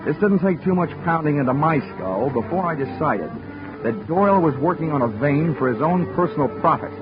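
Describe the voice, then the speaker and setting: A man's voice: a little high, expressive, brisk. Wry man narrating a detective story. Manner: wry, dry first-person narration. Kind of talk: 1940s radio acting. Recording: dull old radio recording with music.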